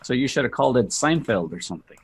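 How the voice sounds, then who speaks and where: A man with a gravelly, raspy voice chatting casually on a group video call. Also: medium pitch, expressive, fast talker.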